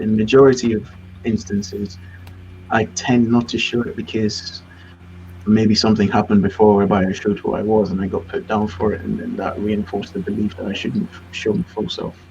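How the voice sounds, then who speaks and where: Man, interview guest, low voice, relaxed, self-deprecating, dull audio, faint hiss. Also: normal speed, gravelly. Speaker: business coach guest. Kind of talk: casual interview chat.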